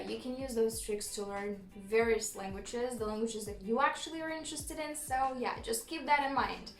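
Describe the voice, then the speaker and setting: High-voiced Romanian-accented woman, echoey. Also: a bit bright. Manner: chatty, laughs often, frequent ums. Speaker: YouTuber. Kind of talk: casual storytime vlog.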